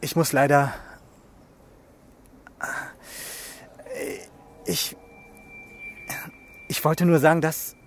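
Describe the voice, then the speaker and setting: A man's voice: on the high side, steady tone, unhurried, slight background noise, close to an everyday voice. An actor playing a tense, exasperated policeman and protective son in a radio crime drama. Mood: uneasy.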